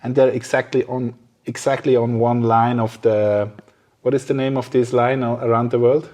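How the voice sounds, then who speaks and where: Halting man hosting a casual podcast. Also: mid-range voice, little pitch movement, a little mellow. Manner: halting, searching for words. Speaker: podcast host. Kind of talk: casual conversational interview.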